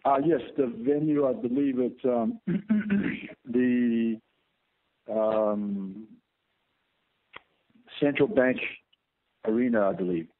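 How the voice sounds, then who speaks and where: A man's voice: mid-range voice, slow pace, pauses often. A male fight promoter answering press questions over a phone line, hesitant and stumbling. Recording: tinny, narrow phone line.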